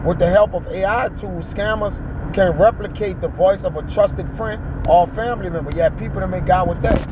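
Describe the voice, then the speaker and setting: A man with a notably high voice gives preachy, off-the-cuff commentary. He speaks in a colloquial, exhorting way, tagging his points with little check-in questions. The sound is dull and muffled, with plenty of road noise from the car around him. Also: moderate pace, hardly any pauses. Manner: exhorting, colloquial street-preacher talk with "all right?" and "man" tags. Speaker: host of a ride-along talk show. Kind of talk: preachy casual commentary, reading an article aloud and riffing.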